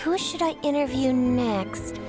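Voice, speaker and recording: A woman voicing an eager, theatrical young woman journalist in a video game, dramatic and expressive. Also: high voice, unhurried, music in the background. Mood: excited, scheming. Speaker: journalist character. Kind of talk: animated video game voice acting.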